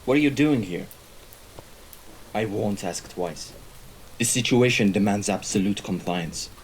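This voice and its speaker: A man playing a composed, menacing mafia right-hand man in an audio roleplay, speaking in a formal, measured way. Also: low-pitched, a little mellow, slight background noise, close to an everyday voice, rough recording. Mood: stern, commanding. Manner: formal, measured, deliberate wording. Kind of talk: scripted audio roleplay acting. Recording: rain and ambience behind the voice.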